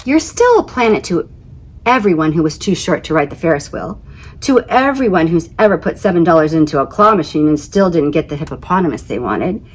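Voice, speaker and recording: A woman voicing a big-hearted, wise Sun in a storybook, measured and reassuring, with emphatic delivery. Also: fairly low pitch, slightly rough, low-quality recording.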